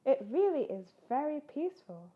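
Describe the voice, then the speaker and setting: A bumbling storybook papa bear, acted out by a woman reader, with exclamatory, expressive delivery that moves widely in pitch. The sound is a little dull and lo-fi. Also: normal speed. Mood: sleepy contentment. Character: bumbling father bear, hesitant until he booms orders.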